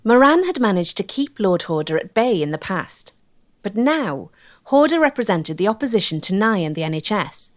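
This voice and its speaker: A woman narrates a documentary from a script. Her pitch is middling and the sound is close and dry, free of room echo.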